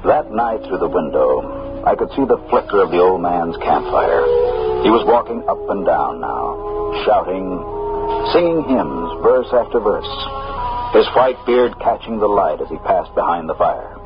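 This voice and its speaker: A grave narrator recalling his past in a 1950s radio drama. The voice is low and measured. The old recording is muffled, with loud music behind it. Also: very animated, heavily acted. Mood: sombre, reflective. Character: grown man soberly recalling his boyhood on Mars.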